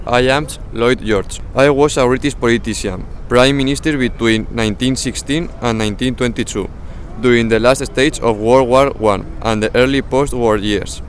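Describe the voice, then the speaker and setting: A young man with a medium-pitched voice recites a scripted biography. He is outdoors in a city, with faint traffic noise around him. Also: normal speed. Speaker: student presenting a history project. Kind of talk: scripted first-person recitation.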